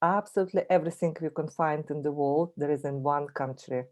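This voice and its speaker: A woman's voice: low voice, fairly quick, a little mellow. A woman with a Russian accent, a native Russian speaker, giving a friendly, chatty online presentation over a video call, with frequent fillers and restarts. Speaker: guest speaker, language teacher.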